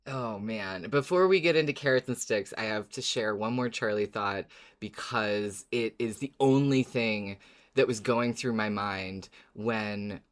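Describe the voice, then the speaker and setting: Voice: medium pitch, a little slow. Informal, self-deprecating podcast co-host with mid-range voice. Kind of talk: casual fan discussion of an audio drama.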